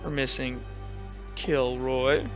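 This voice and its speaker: A man running a tabletop roleplaying game as game master, talking casually and haltingly as he thinks aloud. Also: mid-range voice, flat delivery, faint music behind.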